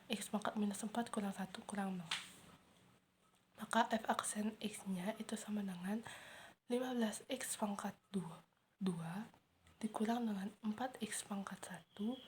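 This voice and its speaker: A teenage girl working methodically through math problems step by step, in a soft, half-whispered way. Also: medium pitch, a little hiss or hum. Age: teens. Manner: steady, methodical, working through steps aloud. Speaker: student explaining homework. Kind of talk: math walkthrough.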